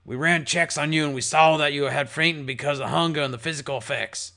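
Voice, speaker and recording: A man putting on a gravelly ghoul pony. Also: on the high side, brisk, sharp tone. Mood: friendly. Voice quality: gravelly, chummy, calls everyone mate. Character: gravelly old male ghoul pony bartender, jovial. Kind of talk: audiobook character voice.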